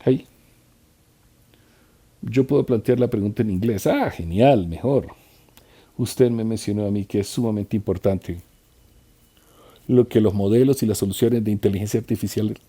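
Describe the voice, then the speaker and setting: Dark husky-voiced male interpreter. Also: lowish voice. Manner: halting, with restarts and trailing phrases. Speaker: simultaneous conference interpreter. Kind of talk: live interpretation of a conference talk.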